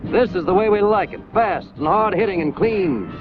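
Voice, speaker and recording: High-pitched American man, 1940s film narrator. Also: animated, normal speed, music in the background. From United States. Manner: hard-hitting, emphatic, clipped short phrases. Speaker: narrator of a military training film. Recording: scratchy, tinny 1940s film soundtrack, loud noise.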